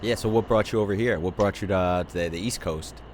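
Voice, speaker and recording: A man's voice: deep voice, fast, a little hiss or hum. A man hosting a casual interview, laid-back and joking with his guests. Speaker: host. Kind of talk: casual chat. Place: home, on a couch.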